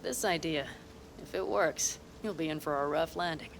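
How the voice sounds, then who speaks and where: A woman's voice: low voice, moderate pace, creaky, a little hiss or hum. An actress voicing a blunt, self-assured female desert nomad in a video game who lays out plans in a dry, matter-of-fact way. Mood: calm, assured. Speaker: game character.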